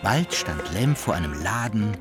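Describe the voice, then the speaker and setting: An actor as the storyteller of a German radio play, giving mock-solemn scripted narration of a hero's misadventures. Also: male voice, fairly low pitch, big pitch swings, unhurried, music in the background.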